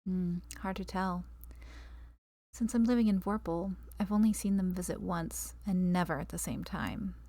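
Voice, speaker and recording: Worldly, caring barmaid for a fantasy audio play female actor, relaxed and creaky, measured delivery. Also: on the low side, no echo. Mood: wistful, grave. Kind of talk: fantasy audio drama dialogue.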